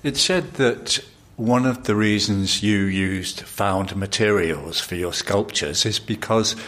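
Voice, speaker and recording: Male podcast host, lowish voice, measured delivery. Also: a bit bright. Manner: measured, polished presenter delivery. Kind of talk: scripted narration and interview.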